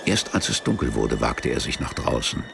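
An actor narrating a literary radio play in a measured, matter-of-fact, sombre way. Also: male voice, extremely low pitch, sing-song, vocal fry, noisy background, exaggerated voice. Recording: music behind the voice. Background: music.

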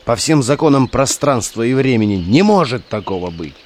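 An actor playing a hard, domineering gang tough, curt, crude and sardonic, in an audio drama. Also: male voice, medium pitch, expressive, moderate pace, a little hiss or hum, lightly acted. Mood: angry, curt.

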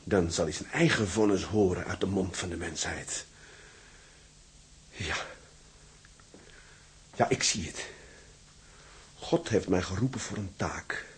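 A man's voice: deep voice, slow, pauses often. An actor playing an imprisoned seaman, a righteous and obsessive self-styled martyr, in a vehement, declamatory drama style. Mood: solemn, obsessive. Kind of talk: dramatized radio or audio drama acting.

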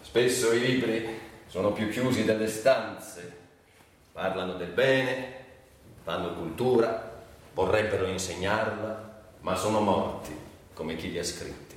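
A man's voice: fairly low pitch, a little slow, pauses often, natural voice. An actor playing a reflective, reverent man in a radio monologue, speaking earnestly in short, emphatic phrases. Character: reflective man recalling his admired mentor from childhood. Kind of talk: theatrical radio monologue, reminiscence. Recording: very echoey, distant, faint noise.

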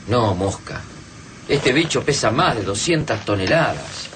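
A man's voice: medium pitch, lively intonation, measured pace, natural voice. A man playing a thoughtful, reasoning survivor in a radio play, with an Argentine accent. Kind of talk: radio drama acting. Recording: radio drama with clear background noise.

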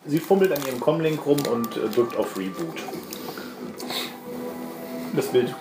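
A man's voice: medium pitch, big pitch swings, measured pace. A man narrating a tabletop role-playing game as game master, explaining things informally. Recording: echoey room with music underneath. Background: music.

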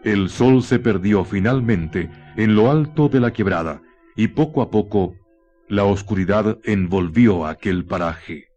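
A Chilean man narrating a scripted radio drama in a solemn, literary manner with measured phrasing. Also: lowish voice, a little mellow, highs cut off. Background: music.

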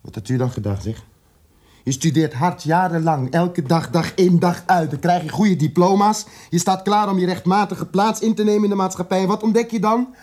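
An actor playing an educated, indignant South African radical in a radio drama, articulate and emphatic, repeating words for weight. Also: male voice, high voice, normal speed, a little mellow.

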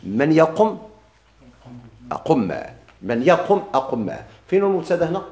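A man teaching Arabic grammar in a Moroccan accent. He quizzes his students, repeats their answers back to them, and drags out the quoted examples slowly. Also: on the high side, big pitch swings, darkish tone. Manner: drawls quoted examples slowly, quick plain explanations. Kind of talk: classroom lesson, questioning students.

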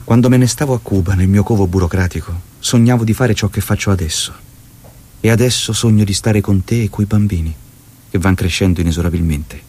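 A man's voice: fairly low pitch, brisk, darkish tone, breathy edge. An actor playing a passionate, idealistic revolutionary, reading his letters and speeches in a theatrical way. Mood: wistful. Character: Che, passionate, idealistic revolutionary and faraway husband.

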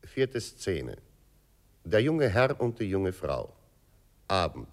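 A man as a measured narrator, announcing scenes and stage directions in a 1960s radio play. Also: sits low, big pitch swings, full character voice. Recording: faintly noisy 1960s radio recording.

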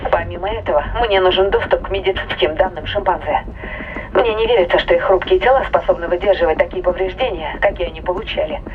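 An actress playing a wary, insistent spaceship crew member in an audio drama, earnest and analytical, heard through a radio intercom filter. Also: female voice, on the low side, narrow, tinny audio, a little hiss or hum. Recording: band-limited radio voice over music.

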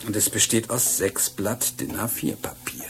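Shrewd, low-voiced police inspector. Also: male voice, expressive, a bit bright, whispered. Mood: hushed, confiding. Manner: measured, authoritative, withholding. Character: shrewd, secretive male police inspector in charge. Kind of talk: German radio crime drama acting.

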